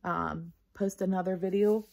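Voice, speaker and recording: A woman's voice: lowish voice, steady tone, unhurried, creaky, warm tone. A woman reading a children's storybook aloud expressively and explaining things to young listeners. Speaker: storybook reader.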